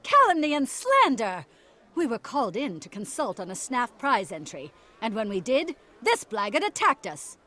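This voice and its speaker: Sneering goblin villainess, highish, forceful, theatrical, menacing, video game. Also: female voice, wildly swooping pitch, normal speed, heavily acted. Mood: mock outrage. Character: smug, menacing female asura villain, small goblin-like. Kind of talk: video game cutscene acting.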